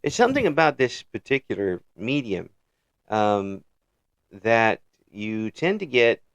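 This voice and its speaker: A man's voice: fairly low pitch, very animated, a little slow, clear, resonant tone, no echo. A man hosting a casual interview. He chuckles often and restarts his phrases. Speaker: interview host.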